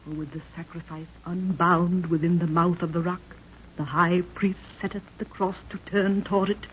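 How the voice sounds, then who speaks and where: A woman's voice: lowish voice, fairly even intonation, moderate pace, natural voice. A woman acting a regal African queen in an old-time radio serial, with archaic, declamatory, solemn speech. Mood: grave, foreboding. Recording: muffled, noisy old radio recording.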